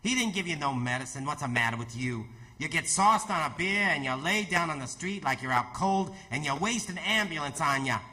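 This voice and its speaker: An actor playing a gruff, cynical veteran ambulance driver with slangy, sarcastic, impatient speech in old-time radio theatre. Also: male voice, a little high, expressive, fairly quick, hardly any pauses, bright, forceful tone. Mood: irritated. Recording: noisy radio drama with background ambience.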